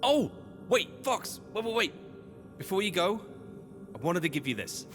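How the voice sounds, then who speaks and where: A man's voice: high-pitched, sing-song, measured pace, a bit bright, full character voice. A man playing a loyal, slightly anxious young adventurer's sidekick in an audio drama, earnest and questioning. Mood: earnest, helpful. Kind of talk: scripted audio drama dialogue. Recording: long reverb, music behind.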